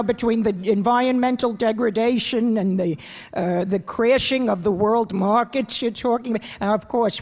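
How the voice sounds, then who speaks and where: A woman's voice: medium pitch, darkish tone, lightly acted. A woman voicing a rambling, effusive older lady who keeps trailing off with fillers. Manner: rambling, filler-heavy, hesitant. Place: large auditorium stage. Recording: long reverb of a big hall.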